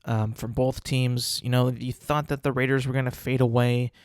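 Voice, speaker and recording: A young man talking on his own podcast with a stuffy, congested voice. He rambles loosely, circling back with likes and you-knows, at a middle pitch. The sound is dry and close. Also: flat delivery, normal speed, clean tone, dry recording. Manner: rambling, many fillers and restarts. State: congested, sniffling. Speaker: podcast host. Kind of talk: casual solo podcast talk.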